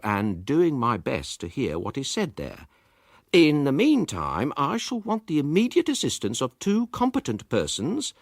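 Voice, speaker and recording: A man's voice: no echo, clean studio sound, full character voice. An actor playing a pompous, self-satisfied amateur detective, narrating his report in a precise, theatrical manner. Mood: smug, confident. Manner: pompous, precise, highly expressive. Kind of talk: theatrical first-person story narration.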